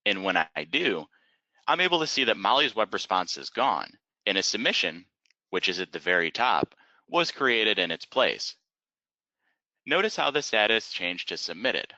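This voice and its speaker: Low-pitched man, very bright forceful tone, lively intonation, methodical webinar trainer, slightly muffled recording. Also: moderate pace, no room sound. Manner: methodical, step-by-step explaining. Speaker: software trainer. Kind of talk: instructional webinar narration.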